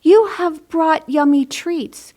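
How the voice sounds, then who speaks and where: A woman voicing a big, gentle, downcast bear in a children's storybook with a drawn-out delivery. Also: high voice, pitched above the performer's own voice. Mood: glum.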